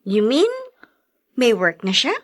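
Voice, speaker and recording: A woman playing a nosy, excitable young friend in a Tagalog radio drama, gushing and gossipy. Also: mid-range voice, takes it slowly, no echo, studio quality. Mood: nosy curiosity.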